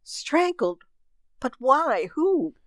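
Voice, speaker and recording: A woman's voice: sits high, sing-song, very slow, dry recording, clean studio sound, exaggerated voice. A woman playing a concerned, proper wife in an amateur radio mystery play. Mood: alarmed, upset.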